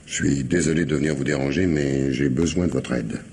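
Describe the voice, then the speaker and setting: An actor playing a polite but insistent police commissioner in a radio mystery, questioning deliberately with hesitations and repeated words. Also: male voice, a bass voice, darkish tone, a little hiss or hum. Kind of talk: French radio mystery drama acting.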